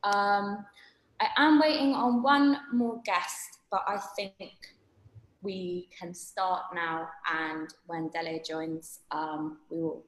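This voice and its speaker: A woman's voice: fairly low pitch, steady tone, takes it slowly, roomy sound, low-quality recording. A woman hosting an online panel webinar on a video call, chatty and full of fillers and restarts. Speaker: webinar host.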